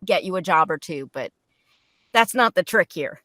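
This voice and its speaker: A woman's voice: on the low side, expressive, moderate pace, pushed and bright, no echo, studio quality. A woman giving frank, matter-of-fact advice as a guest in a casual panel discussion.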